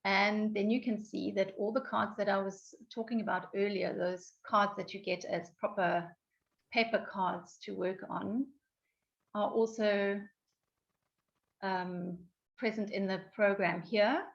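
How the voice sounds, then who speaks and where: Woman walking thru software on a video call, gravelly croaky voice, dull lo-fi sound. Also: medium pitch, fairly even intonation, unhurried. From South Africa. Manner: explanatory, many ums and restarts. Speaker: software trainer. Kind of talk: online training presentation. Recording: dull lo-fi online call audio.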